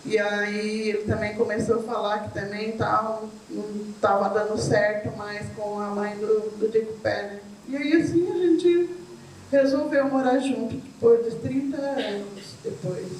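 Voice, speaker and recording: A woman's voice: medium pitch, measured pace, a little mellow. A middle-aged woman from Brazil, telling her own story as a guest in a chatty way with many tag questions. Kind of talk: casual chat. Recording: echoey room from far off, faint noise.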